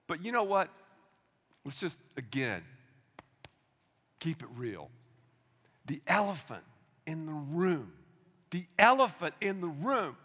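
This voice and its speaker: A man, preaching a sermon in an energetic, conversational way with asides to the congregation. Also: high voice. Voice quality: loud voice. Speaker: pastor. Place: large church auditorium. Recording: long hall reverb.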